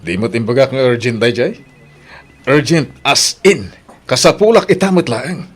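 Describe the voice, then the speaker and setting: A man's voice: mid-range voice, lively intonation, moderate pace, husky. A man with an Ilocano accent playing an impatient, bullying boss in an audio drama. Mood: curt, demanding. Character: demanding, impatient male boss who bullies his employee. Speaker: drama actor.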